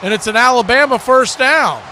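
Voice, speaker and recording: An American man doing live football play-by-play commentary, conversational between snaps and animated on big plays. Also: very high-pitched, normal speed, very noisy. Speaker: play-by-play sportscaster.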